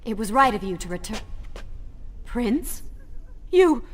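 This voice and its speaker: 芯の強い誠実な若い王女ファラ. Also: female voice, on the high side, very animated, slow pace, a little hiss or hum. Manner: earnest, reproachful, firm. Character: principled, compassionate young princess, defiant.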